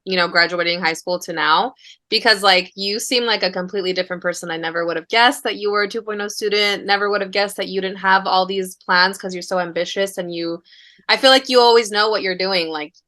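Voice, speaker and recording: Bright-voiced, encouraging woman co-host. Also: mid-range voice, steady tone, brisk. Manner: informal, affirming, encouraging. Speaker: podcast co-host. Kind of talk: casual conversational responses and questions.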